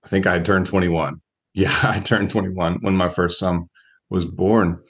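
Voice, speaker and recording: Low-pitched man in his forties, reflective. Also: little pitch movement, measured pace, breathy edge, dull audio. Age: 40s. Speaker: guest, relationship coach. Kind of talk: reflective interview answers.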